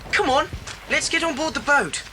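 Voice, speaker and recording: An actress playing a bold, practical child adventurer in a children's audio drama. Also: female voice, high-pitched, normal speed, background noise, close to an everyday voice. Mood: urgent, alarmed.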